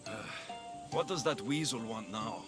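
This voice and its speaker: Male actor as young mercenary, Greek accent, sarcastic, weary, tough, lively intonation, game dialogue, music behind. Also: medium pitch, measured pace, close to an everyday voice. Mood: wry, casual. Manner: sarcastic, exasperated. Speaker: game protagonist. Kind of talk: cinematic video game acting.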